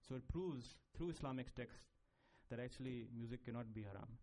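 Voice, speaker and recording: A man's voice: mid-range voice, a little fast, warm tone. A man on a conference panel, talking with many fillers and restarts about making a film.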